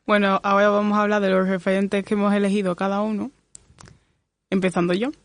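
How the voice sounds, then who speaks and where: Young woman, moderator, smooth clear voice, flat steady delivery, dry sound. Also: medium pitch, a little fast, no echo. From Spain. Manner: reading questions in a steady way. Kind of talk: podcast moderation.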